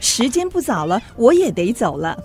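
A woman's voice: fairly high pitch, very animated, normal speed, a little mellow. An actress playing an airy, superior society lady in a radio drama, with affected, drawling politeness. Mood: breezy.

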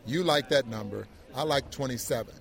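Halting male sports analyst, mid-range voice. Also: mid-range voice, creaky, fairly bright tone. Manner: halting, repeats words and circles back. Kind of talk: conversational post-game analysis.

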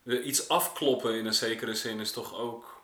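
A man's voice: medium pitch, fairly even intonation, normal speed, pushed and bright. A man from the Netherlands chatting casually on a podcast, searching for his words as he goes. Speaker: podcast conversation partner. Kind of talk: casual chat. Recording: echoey room, away from the mic.

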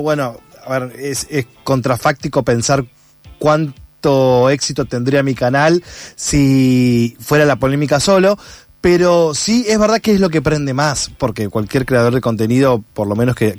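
An Argentine man giving conversational interview answers, reflective and halting as he rephrases his thoughts. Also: mid-range voice, darkish tone, no room sound. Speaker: interviewed actor and content creator.

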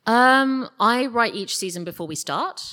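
A woman's voice: a little high, animated, unhurried, bright, forceful tone. A woman speaking as a writer guest in a live interview, explaining things in a casual, rambling way. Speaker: guest, audio drama writer. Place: live podcast festival stage.

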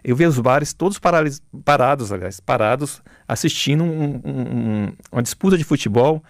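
A middle-aged man with a Bahian Brazilian accent, talking reflectively as an interview guest, haltingly, repeating words as he searches for phrasing. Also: medium pitch, expressive, dry recording, studio quality.

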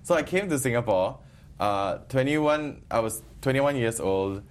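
A man's voice: medium pitch, measured pace. Playful young man with a Filipino accent. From Philippines. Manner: playful, animated, switches between Filipino and Singaporean English. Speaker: guest, YouTuber and radio DJ. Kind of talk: casual interview chat.

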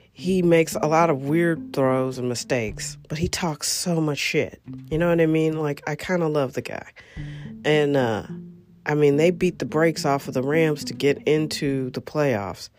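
A woman's voice: low voice, a little mellow. A woman hosting a solo podcast, congested and coughing now and then, talking in a chatty, rambling, emphatic way. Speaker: podcast host. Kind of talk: casual solo podcast chat about football.

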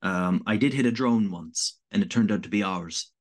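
A man from Canada, a guest in an interview, answering in a halting, thoughtful way with many pauses and restarts. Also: on the low side. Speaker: interview guest, volunteer evacuation worker.

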